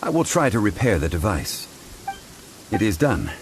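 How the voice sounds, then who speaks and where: An actor playing a composed, erudite gentleman in a video game, formal and measured with a dry manner. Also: on the low side, sing-song, background noise. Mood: thoughtful, calm. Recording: music behind the voice.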